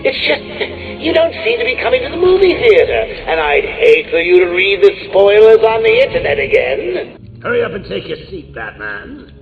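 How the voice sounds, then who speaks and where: An actor playing a manic, theatrical male clown villain in a video game, taunting in sing-song swings from purring lows to shrieking highs, with a gravelly voice and a cackling laugh. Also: big pitch swings, moderate pace, phone-quality sound, soft background music, heavily acted.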